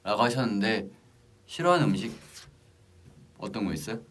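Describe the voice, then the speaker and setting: A young man chatting casually on a livestream, reading out comments with hesitant pauses between short remarks. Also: lowish voice, animated, fairly bright tone, distant mic. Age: young adult. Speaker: idol group member hosting a livestream.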